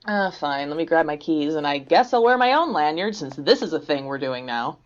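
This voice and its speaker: A woman playing a brisk, teasing professor in a fiction podcast, with a wry, bantering manner. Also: low-pitched, very animated. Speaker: actor in an audio drama. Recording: slightly dull, lo-fi sound.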